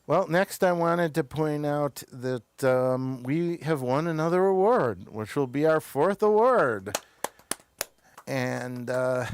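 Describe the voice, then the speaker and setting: An American man hosts an unscripted talk show at an unhurried pace. His voice is smooth and clear, recorded dry and close. He speaks hesitantly, wandering through his sentences and sometimes leaving them unfinished. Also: mid-range voice, no room sound.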